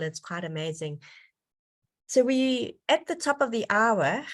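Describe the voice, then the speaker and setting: Woman hosting an online call. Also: medium pitch. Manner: effusive, enthusiastic. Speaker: host of a donor conference call. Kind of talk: casual, appreciative hosting talk. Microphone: online conference call.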